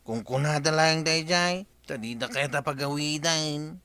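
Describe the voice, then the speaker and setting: A man's voice: on the high side, measured pace, bright, forceful tone, smooth, no room sound. A Filipino man acting a naive, bewildered young nephew in an audio drama. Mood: relieved.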